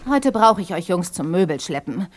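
An actress playing an overwhelmed young granddaughter in a German audio drama, talking in a frank, chatty, slightly flustered way. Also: female voice, lowish voice, sing-song, normal speed, slight background noise. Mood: flustered, grateful. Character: overwhelmed grieving young granddaughter clearing out an inherited house.

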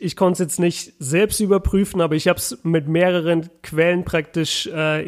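A young man talking casually on a podcast, chatty, with many fillers and restarts, mixing English words into his speech. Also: on the high side.

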